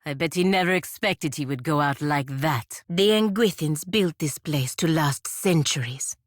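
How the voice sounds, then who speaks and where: A woman's voice: deep voice, dry recording. A woman playing a stern, proud feathered warrior in a fantasy video game, speaking formally and measuredly with dry sarcasm. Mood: dry, observant. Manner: formal, measured, dryly sardonic, avoids contractions. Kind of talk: scripted video game companion voice lines.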